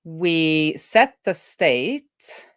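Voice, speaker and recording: A woman's voice: sits low, sing-song, no room sound, studio quality. A woman developer casually thinking aloud as she codes, often breaking off mid-thought and correcting herself. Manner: halting, trailing off mid-thought and self-correcting. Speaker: developer hosting a live coding stream.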